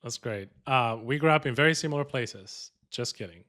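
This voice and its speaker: A man's voice: medium pitch, moderate pace, sharp tone. Upbeat Colombian-accented male podcast host. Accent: Colombian Spanish. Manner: upbeat, joking, many fillers. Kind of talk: casual podcast chat.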